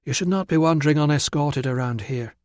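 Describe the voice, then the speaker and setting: A man's voice: a little high, fairly quick, darkish tone, no echo, pitched above the performer's own voice, natural voice. A man narrator plays a firm, sceptical married woman in an audiobook. The delivery is curt and impatient, with a no-nonsense edge. The sound is dry and close. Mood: stern. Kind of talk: audiobook character voice.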